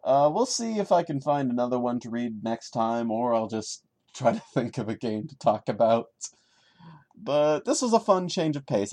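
A man's voice: medium pitch, a little mellow. A man hosting a podcast, giving casual commentary on his own in an emphatic, mock-exasperated manner. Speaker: podcast host. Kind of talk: casual solo commentary and dramatic reading.

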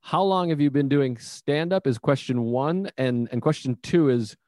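A man's voice: mid-range voice, moderate pace, darkish tone, no echo, studio quality. An American man from Massachusetts hosting a casual interview podcast, talking in an informal, self-deprecating, rambling way. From Massachusetts, United States.

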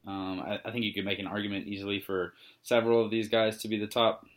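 Young male podcast co-host, very deep voice, monotone and easygoing, loose chatty delivery. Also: quick pace. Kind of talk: casual sports chat.

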